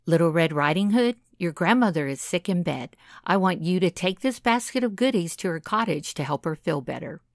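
A woman voicing a caring, cautioning mother in a children's puppet show, gentle and instructive. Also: lowish voice, expressive, normal speed, few pauses, no echo, clean studio sound.

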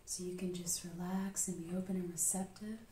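A woman in her thirties leading an ASMR reiki session in a small treatment room, whispering soft, slow, soothing affirmations from a distance to the camera. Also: on the low side, flat delivery, echoey room, very noisy. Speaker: reiki practitioner. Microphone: far camera.

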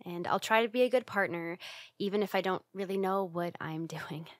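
A woman's voice: lowish voice, dry recording, natural voice. Woman acting a flustered tsundere roommate, dry close mic. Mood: tender. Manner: halting, flustered, breathy sighs. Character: flustered tsundere young woman roommate, bashful, secretly affectionate. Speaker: ASMR roleplay performer. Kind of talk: ASMR roleplay acting.

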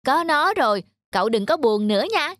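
High-pitched earnest cartoon child. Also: female voice, sing-song, moderate pace, fairly bright tone, no echo, clean studio sound. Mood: friendly. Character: young children, close friends, in a gentle moral tale. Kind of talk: Vietnamese children's cartoon dubbing.

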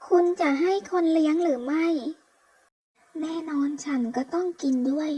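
A woman's voice: high voice, normal speed, dark tone, natural voice. A woman voicing a cheeky, food-loving young woman held captive in a comic-story dub, with chatty, playful delivery. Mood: contented. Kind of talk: comic-story dubbing read aloud.

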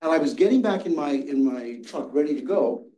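Older man, church class teacher, earnest storyteller, mellow tone, distant mic in an echoey room. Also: on the high side, animated, a little fast. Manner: earnest storytelling, restarts and repeats words. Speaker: Sabbath school teacher. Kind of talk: teaching a Bible study class. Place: church classroom with seated audience.

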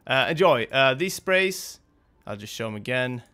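A man's voice: mid-range voice, lively intonation, normal speed, sharp tone, clear, resonant tone. A young man hosting a gaming video, talking off the cuff in a casual, hesitant, rambling and apologetic way.

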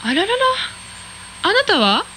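An actress playing a cheeky, quick-witted high-school girl in a radio drama. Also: a little hiss or hum, full character voice, rough recording. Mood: curious. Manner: chatty, lively, sassy.

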